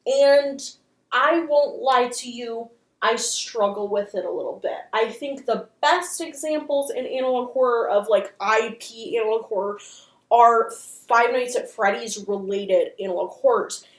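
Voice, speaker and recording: Female commentary host, higher register, chatty and opinionated with playful drama, echoey room sound. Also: unhurried. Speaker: host of a channel on internet horror. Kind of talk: casual video commentary.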